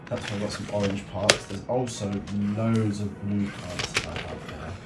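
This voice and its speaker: Casting: male hobby vlogger, informal and unscripted, lowish voice, recorded well away from the mic in an echoey room. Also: normal speed. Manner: informal, thinking aloud. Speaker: YouTube host showing a hobby build. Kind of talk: casual unscripted show-and-tell vlog. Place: indoor room beside the build. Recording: echoey room, distant mic, music behind.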